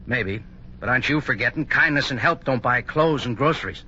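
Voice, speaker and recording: An actor playing a stubborn, defiant young man in a 1950s radio drama, brisk and intense. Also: medium pitch, animated, sharp tone. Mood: bitter, defiant. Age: young adult. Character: bitter, defiant young minister's son. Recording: muffled old radio recording, faint noise.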